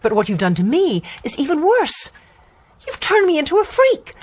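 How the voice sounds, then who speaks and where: Passionate rebellious daughter, lively swooping voice. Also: female voice, high-pitched, moderate pace. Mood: bitter, defiant. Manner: earnest, intense, articulate. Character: sheltered, rebellious, passionate grown daughter of an inventor. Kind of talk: radio drama acting.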